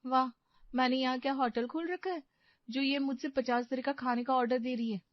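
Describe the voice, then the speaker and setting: Woman playing meek, high-voiced cartoon daughter-in-law. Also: steady tone, fairly quick, no room sound, dull audio, close to an everyday voice. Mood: resentful. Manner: deferential, hesitant. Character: meek, put-upon young daughter-in-law, secretly resentful. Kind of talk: animated moral-story cartoon acting.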